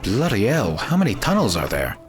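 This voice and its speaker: A very low, expressive thief played by a man in a fantasy audio drama. He grumbles sardonically, with loud music behind him. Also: fairly quick. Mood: wary.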